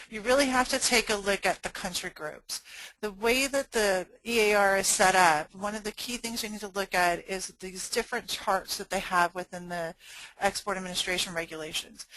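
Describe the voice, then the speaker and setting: A woman's voice: medium pitch, bright, forceful tone, dry recording, rough recording. A woman trade compliance consultant presenting a webinar, walking listeners through regulations step by step. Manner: explanatory, instructive.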